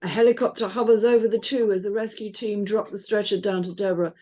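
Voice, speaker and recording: A woman's voice: mid-range voice, steady tone, quick pace, warm tone. A woman reading out stage directions as scripted narration.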